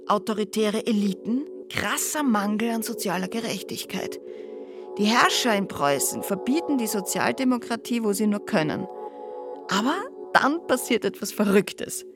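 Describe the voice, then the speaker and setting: Woman lecturer, radio drama, slightly high pitch, keen, emphatic clipped phrases, music underneath. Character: keen woman expert explaining political history. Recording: clear music behind the voice.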